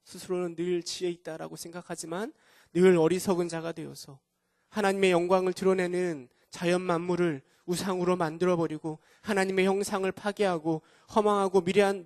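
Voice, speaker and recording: Hoarse man preaching a sermon. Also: sits high, a little mellow, no room sound, clean studio sound. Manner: earnest, asks the congregation rhetorical questions. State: hoarse from a cold. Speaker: pastor. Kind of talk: sermon at a Friday prayer meeting. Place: church.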